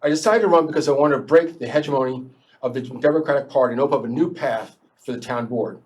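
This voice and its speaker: A man giving a measured, slightly halting prepared statement as a town board candidate at a local debate. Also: mid-range voice, a little mellow, husky, rough recording.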